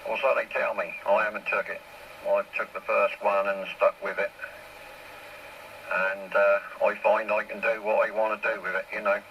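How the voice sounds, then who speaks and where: Low, creaky-voiced man on noisy radio. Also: little pitch movement, moderate pace, lo-fi sound. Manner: plain-spoken, explains step by step, mild swearing. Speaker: amateur radio operator. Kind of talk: casual explanatory radio chat. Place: over a radio link. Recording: noisy two-way CB radio transmission.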